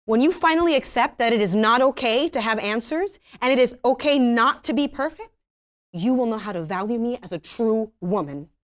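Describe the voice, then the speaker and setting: A woman's voice: on the high side, expressive, a little fast, lightly acted. A woman playing a fierce, wounded woman in her fifties, dramatically accusing her lover in a comedy script reading. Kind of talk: comedy script table read.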